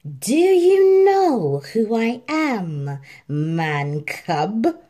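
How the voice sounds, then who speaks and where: A woman voicing a smug, menacing male tiger in a children's storybook, with a haughty, drawn-out delivery. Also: fairly high pitch, sing-song, warm tone, exaggerated voice. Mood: smug menace.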